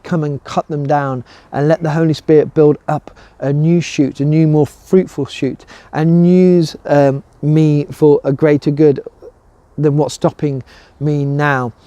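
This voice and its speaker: A man's voice: a little high, mellow, no room sound. A man speaking as a curate, giving an informal Advent devotional message.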